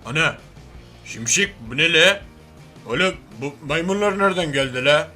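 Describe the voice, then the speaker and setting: A man's voice: a little high, expressive, normal speed, pushed and bright. A man voicing a crude, hot-headed, hairy oaf of a superhero in a comic game cartoon, with brash, slangy, grumbling street talk. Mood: mocking. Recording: music behind the voice.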